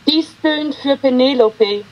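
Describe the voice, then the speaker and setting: A woman giving a literary reading of poems, with measured, deliberate phrasing and pauses between short lines. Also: high voice, highs cut off, slight background noise. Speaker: poetry reader.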